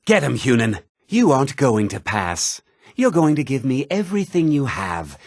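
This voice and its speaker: An actor playing a menacing, bullying bandit with a rough, threatening manner in a video game. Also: male voice, medium pitch, wildly swooping pitch, moderate pace, dry recording. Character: menacing, bullying male bandit, quick to back down. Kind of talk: video game character lines.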